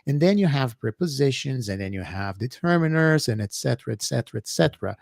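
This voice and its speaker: A middle-aged Brazilian professor with a Brazilian Portuguese accent, co-hosting an educational podcast in a hesitant, filler-heavy manner. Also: male voice, medium pitch, animated, quick pace, gravelly, darkish tone, no room sound, studio quality. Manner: hesitant, many fillers and restarts. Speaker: co-host, professor. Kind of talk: casual educational podcast chat.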